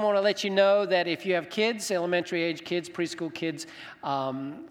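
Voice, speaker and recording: A middle-aged man from Zimbabwe, a senior minister giving a conversational sermon and addressing his listeners directly. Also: on the high side. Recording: long reverberant hall sound.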